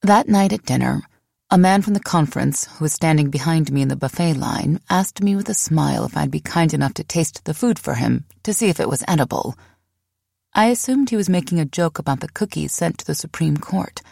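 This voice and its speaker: A woman narrates a memoir in a low register with a gravelly edge. The sound is close and dry, and she tells the story with measured expression, as an audiobook reader does. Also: lively intonation, warm tone, dry recording.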